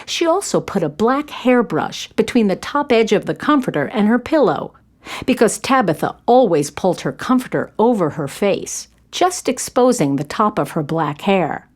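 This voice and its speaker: Very animated woman narrating a children's story. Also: medium pitch, normal speed. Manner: expressive storytelling.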